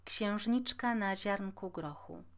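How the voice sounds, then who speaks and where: Woman, audiobook narrator, slow pace, mid-range pitch, measured expressive fairy-tale reading.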